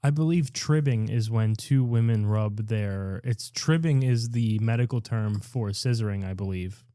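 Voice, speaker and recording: A man's voice: fairly low pitch, moderate pace, dark tone, dry recording, clean studio sound. A man hosting a live comedy show with casual, bawdy banter in a mock-earnest manner.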